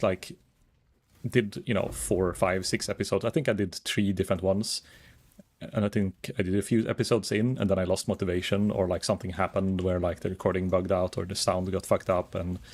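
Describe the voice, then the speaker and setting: A calm, soft-spoken man chatting casually while streaming a game, rambling and thinking aloud with many fillers. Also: deep voice. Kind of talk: casual gaming stream chat.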